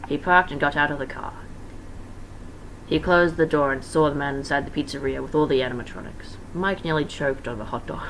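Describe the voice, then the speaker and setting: A man's voice: a little high, a little fast, creaky, fairly bright tone, low-quality recording. A young man reading fan fiction aloud as an informal dramatic narration, breaking off for casual asides. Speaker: amateur fan-fiction reader. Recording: faint background noise.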